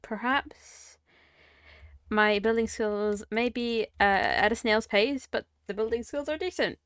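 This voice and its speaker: A young woman streamer with a croaky, sore voice, chatting casually and muttering to herself while she plays. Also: a little high, a little slow, a bit bright, no room sound, studio quality. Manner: rambling, self-mocking, mutters to herself. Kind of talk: casual livestream chat while gaming.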